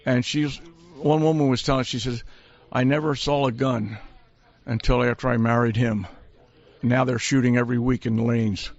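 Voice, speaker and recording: A man with a medium-pitched voice talks casually, telling anecdotes as a shop worker. The audio is a little dull and lo-fi. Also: steady tone, moderate pace, a little mellow. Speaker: sport shop representative. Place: inside a sport shop.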